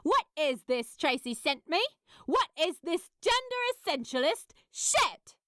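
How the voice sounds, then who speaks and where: Storybook firebrand played by a woman: scornful, sneering female creator with very animated pitch. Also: a little slow, no echo, clean studio sound, full character voice. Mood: indignant. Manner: storming, sneering. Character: fierce, scornful, outspoken female children's show creator.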